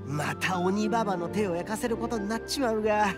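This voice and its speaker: A man voicing a boisterous, big-hearted young oni gang boss in a Japanese game, cocky and loyal, with swaggering rough speech. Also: high voice, fairly quick, over music, close to an everyday voice. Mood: confident, reassuring. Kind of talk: Japanese anime game voice acting.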